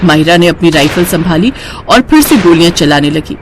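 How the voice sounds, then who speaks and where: A woman's voice: lowish voice, expressive, fairly quick, noisy background. A woman reading a scripted story as its narrator.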